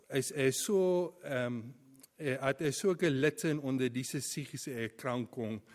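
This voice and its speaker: Earnest Afrikaans-speaking male preacher. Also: a little high. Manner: earnest, emphatic, with slow stressed phrases and non-native grammar slips. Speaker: preacher, former theology teacher. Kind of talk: sermon.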